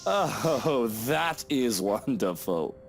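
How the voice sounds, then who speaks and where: Swooping, needling husband. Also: male voice, medium pitch, sing-song, extremely slow, vocal fry, soft background music, heavily acted. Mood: placated, languid. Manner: drawling, needling, mock-affectionate. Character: sardonic, self-pitying husband who drinks, condescendingly sweet. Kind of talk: visual novel voice acting.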